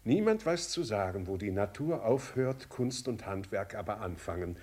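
Lively, swooping old princely uncle. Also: male voice, lowish voice, normal speed. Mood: absorbed, enthusiastic. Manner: hesitant, repeats words while eagerly explaining. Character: sprightly old princely uncle, enthusiastic and rambling. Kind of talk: German literary radio play acting.

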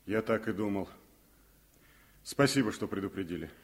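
A man's voice: lowish voice, expressive, normal speed. Composed, kindly male teacher. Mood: warm, calm. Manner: friendly, composed. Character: kindly, brave male revolutionary teacher, calm and warm-hearted. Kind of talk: Soviet radio play acting.

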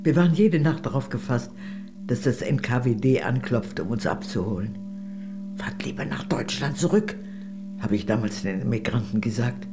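A woman's voice: rumbling low, normal speed, music in the background. An actress playing a worldly, gracious older lady, a former communist, in a radio play, speaking in a genteel, arch, confiding way. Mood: sober, reflective.

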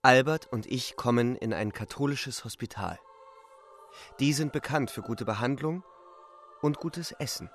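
An actor playing a wounded young soldier who narrates a radio drama in a terse, urgent, defiant way. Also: male voice, mid-range voice, a little slow, faint music behind, natural voice. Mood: tense, grim. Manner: terse, urgent present-tense telling.

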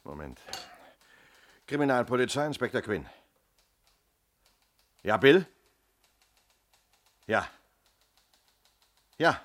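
An actor playing a methodical, fair-minded police inspector in a German radio play, measured, matter-of-fact and probing. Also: male voice, medium pitch, fairly bright tone, full character voice.

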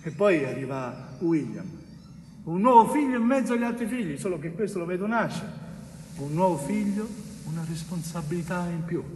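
A man's voice: high voice, expressive, darkish tone. Tormented Sicilian man, echoing hall. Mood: wistful, uneasy. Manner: halting, repetitive, emphatic, with dramatic pauses. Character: wrongly convicted Sicilian bricklayer, tormented, tender, pleading with a judge. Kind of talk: theatrical stage monologue. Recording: echoey hall, distant mic, faint noise.

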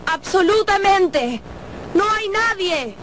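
Very high, careful young captive. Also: female voice, lively intonation, slow, fairly bright tone, a little hiss or hum, heavily acted. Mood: feigned calm. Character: young captive woman feigning calm innocence before captor. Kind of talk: radio drama acting. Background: music.

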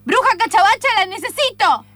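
A woman's voice: very high-pitched, sing-song, a little fast, sharp tone, no room sound, full character voice. A woman with an Argentine accent playing an insistent, envious, bossy client in improvised radio theatre. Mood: envious, indignant. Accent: Argentine (Rioplatense). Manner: dramatic, insistent.

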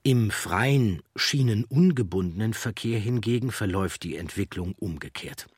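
A man reads scripted documentary narration in a measured, factual way. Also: fairly low pitch, animated, husky, no room sound, clean studio sound.

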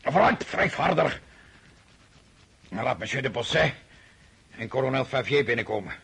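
An actor in a radio play voicing a vain, impatient emperor who speaks in a curt, commanding, self-satisfied way. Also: male voice, medium pitch, lively intonation, moderate pace, creaky, a bit bright, breathy edge, exaggerated voice. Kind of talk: radio drama acting.

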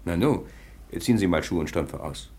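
A low voiced police officer, curt questions, swingy intonation, german radio drama style. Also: male voice, lively intonation, moderate pace, a little mellow. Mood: probing, puzzled. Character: brisk, commanding male police officer on night watch. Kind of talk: radio play acting.

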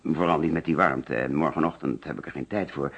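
Muffled old radio drama, nervous evasive suspect guy, really deep voice, hesitant explaining. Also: brisk, gravelly, fairly bright tone, slightly rough, full character voice. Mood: reassuring. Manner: hesitant, explanatory, protesting when pressed. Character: male insurance agent, murder suspect, anxious and evasive. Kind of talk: old Dutch radio detective play acting. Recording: dull lo-fi old radio recording.

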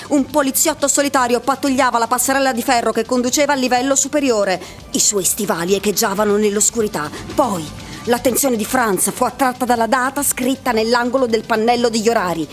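A woman's voice: on the high side, a little fast. A woman reading scripted literary narration for a radio drama. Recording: voice over faint music.

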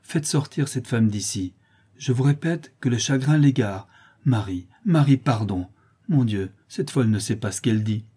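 Man acting an indignant young lady, husky. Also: mid-range voice, animated, brisk, mellow. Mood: agitated, pleading. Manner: imperious, agitated, exclamatory. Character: indignant young lady of the farm, loyal, commanding.

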